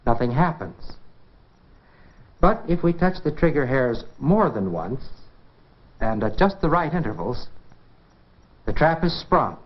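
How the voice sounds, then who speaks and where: A man's voice: medium pitch, big pitch swings, measured pace, pauses often. An American man presenting a 1950s educational science film, explaining in a conversational, folksy way with rhetorical questions. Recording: dull 1950s film soundtrack.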